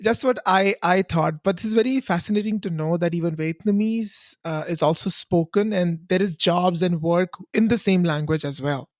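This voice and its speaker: A woman's voice: lowish voice, normal speed, hardly any pauses, darkish tone, dry recording, studio quality. A woman hosting a casual podcast interview, attentive and encouraging, often affirming her guest. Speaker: podcast host.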